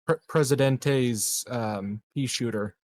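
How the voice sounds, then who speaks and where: A man's voice: medium pitch, takes it slowly, a little mellow, no room sound. A man playing a tabletop game, speaking casually in a hesitant, joking way. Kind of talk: casual banter.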